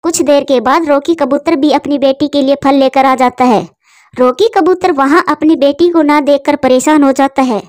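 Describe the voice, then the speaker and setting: A woman's voice: high-pitched, normal speed, darkish tone. A woman reading a scripted children's story as its narrator.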